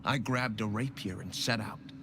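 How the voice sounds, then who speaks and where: An actor playing a noble, resolute young hero who speaks in an earnest, rousing way. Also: male voice, fairly low pitch, normal speed, noisy background, natural voice. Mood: storytelling. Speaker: game companion. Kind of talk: video game dialogue.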